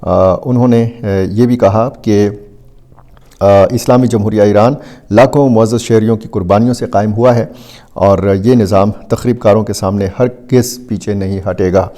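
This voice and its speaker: A man with a Pakistani accent giving unscripted news analysis to viewers, speaking deliberately and haltingly with frequent drawn-out hesitation sounds. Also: on the low side, steady tone, warm tone. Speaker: news commentator.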